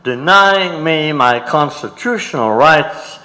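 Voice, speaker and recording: A man's voice: a little high, animated, pushed and bright, exaggerated voice. A man playing a defiant defendant who insistently demands his rights in a staged courtroom reading in a reverberant hall. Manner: insistent, deliberate. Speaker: reader in staged documentary. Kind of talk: dramatic reading of court transcript. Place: large auditorium. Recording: reverberant auditorium.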